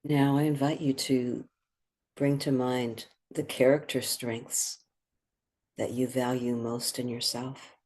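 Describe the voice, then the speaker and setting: A meditation guide speaking in a gentle, contemplative, soothing and measured way, with long pauses between phrases. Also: medium pitch, little pitch movement.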